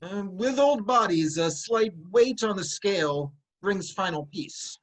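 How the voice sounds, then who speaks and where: An actor playing a humble, cheery old messenger from Corinth in a formal, expressive reading of a Greek tragedy. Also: male voice, sits high, a little slow, poor audio. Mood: solemn.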